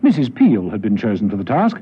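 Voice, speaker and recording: A man acting as the narrator of a spy radio serial, telling the story in a measured, dramatic way. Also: on the low side, huge pitch swings, husky, full character voice. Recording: dull, noisy old radio recording.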